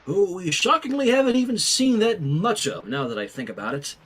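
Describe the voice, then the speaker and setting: High-pitched, very animated male story reader. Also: a little fast, slightly rough. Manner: playful, self-interrupting. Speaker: host, fan fiction reader. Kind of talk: animated story reading with chatty asides.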